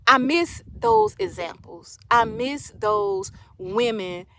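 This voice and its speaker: A woman's voice: on the high side, slow, pushed and bright, a little hiss or hum. A woman in her late thirties talking casually to her viewers, sharing opinions emphatically and repeating phrases for emphasis. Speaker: livestream host.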